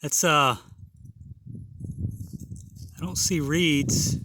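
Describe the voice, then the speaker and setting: A man narrating a metal detecting hunt outdoors in a field, hoarse and awed, talking slowly with many exclamations. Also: on the high side, background noise. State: hoarse, losing his voice. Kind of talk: casual outdoor narration to camera.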